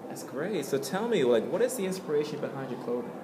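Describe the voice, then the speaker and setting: A man's voice: fairly high pitch, big pitch swings, fast talker. Upbeat young man interviewing in an echoey room. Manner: upbeat, prompting questions. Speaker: interviewer, event host. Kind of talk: casual on-camera interview. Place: indoors in a hotel at a fashion show. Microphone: camera mic at arm's length. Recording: echoey room with background noise.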